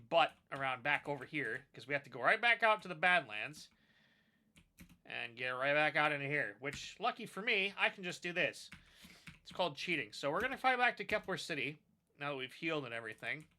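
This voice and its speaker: Sinusy, bright-voiced man gaming. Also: on the high side. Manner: casual, rambling, mild swearing. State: sinusy from allergies. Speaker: gaming video host. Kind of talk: casual let's-play commentary.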